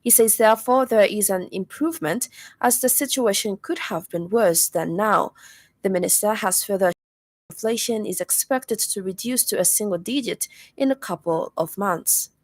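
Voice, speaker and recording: A woman's voice: mid-range voice, moderate pace, no echo. Zambian woman reading radio news. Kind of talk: scripted news bulletin reading. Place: radio studio.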